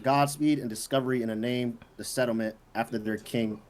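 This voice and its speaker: A man hosting a casual quiz with friends, reading out questions and answer options at an unhurried pace. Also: mid-range voice, fairly even intonation, darkish tone. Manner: reads answer options slowly, teases players. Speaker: quiz host.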